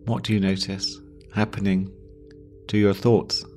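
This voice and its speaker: A man with a low voice leads a guided deep rest meditation. He speaks slowly and gently, leaving long pauses between short phrases. Meditation music with singing bowls plays behind him. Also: flat delivery. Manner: slow, pausing, gentle instruction. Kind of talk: guided yoga nidra meditation.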